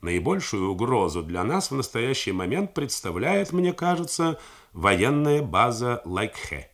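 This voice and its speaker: A man's voice: mid-range voice, big pitch swings, a little slow, fairly bright tone, pitched above the performer's own voice, exaggerated voice. A man reading the part of an earnest intelligence officer delivering reports with keen zeal. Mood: reporting.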